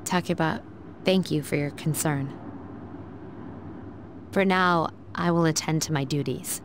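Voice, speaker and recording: A woman's voice: low-pitched, unhurried, background noise. A woman playing a formal, reserved teenage student leader in an anime game dub, speaking with restraint.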